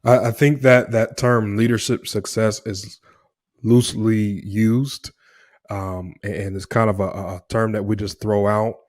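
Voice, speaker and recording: A man co-hosting a podcast, asking questions with slow, measured, hesitant phrasing. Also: on the low side, steady tone, a little mellow, dry recording, clean studio sound. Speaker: podcast co-host. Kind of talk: interview questions.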